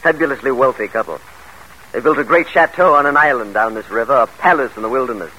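A man's voice: medium pitch, fast, bright, forceful tone, close to an everyday voice. An actor in a classic radio drama playing a scheming, grandiose exiled father with a commanding, theatrical manner. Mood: confident. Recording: noisy old radio drama recording.

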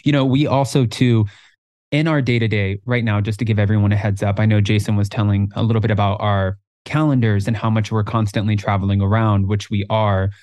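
A man in his twenties co-hosting a podcast in casual chat, talking in an animated way and jumping higher when he quotes himself. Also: a little fast, dry recording. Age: 20s. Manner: animated, jumps up in pitch when quoting himself. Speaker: podcast co-host.

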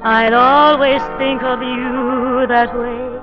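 Woman singer, sentimental ballad, drawn-out phrasing, musical backing, dull vintage recording. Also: high voice, flat delivery, music in the background. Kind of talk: slow sentimental ballad singing. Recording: muffled old recording. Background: musical accompaniment.

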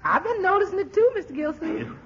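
An actress playing a good-natured, deferential household cook with a folksy manner in a 1940s radio comedy. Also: female voice, very high voice, huge pitch swings, brisk, exaggerated voice. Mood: frank, teasing. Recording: muffled, hissy old radio recording.

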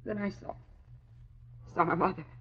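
An actress playing a nervous, defensive young society woman who speaks haltingly and dramatically in an old radio drama. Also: mid-range voice, fairly even intonation, fairly bright tone, husky. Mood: shaken. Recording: dull, lo-fi old radio recording.

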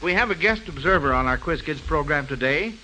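A man's voice: fairly high pitch, lively intonation, fairly quick, sharp tone. A man hosting an old-time radio quiz show, encouraging and avuncular with children. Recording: noisy old radio broadcast recording.

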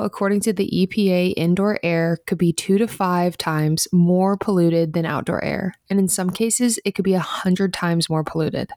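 A woman's voice: lowish voice, a little mellow, dry recording, clean studio sound. A woman podcast host reading a scripted sponsor spot in a chatty, personal style.

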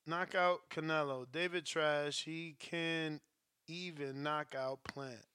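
A man with a high, bright, sharp-edged voice talks boxing in a loose, slangy show chat. He argues with animation. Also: steady tone, takes it slowly, clear, resonant tone. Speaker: podcast co-host.

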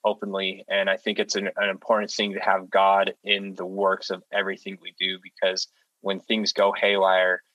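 A man with a fairly low, bright voice speaks as a podcast host. His delivery is earnest and conversational, and the sound is very dry, with no room around it. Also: monotone, moderate pace, no room sound, low-quality recording. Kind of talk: casual conversational podcast wrap-up.

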